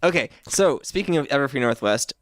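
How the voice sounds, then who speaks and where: An easygoing man who laughs often, chatting on a podcast. His pitch is lively, and the recording is dry and close. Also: fairly quick, dry recording. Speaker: podcast co-host. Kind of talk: casual chat.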